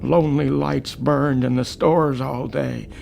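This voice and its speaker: An actor playing a lonely, anguished man of eighty stranded on Mars, halting and haunted, in a radio drama. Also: mid-range voice, fairly even intonation, vocal fry, darkish tone. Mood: haunted remembering. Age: 80s, as played. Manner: halting, haunted, swinging from murmurs to outbursts. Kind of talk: dramatic radio acting, reminiscing monologue. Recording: noisy radio drama recording.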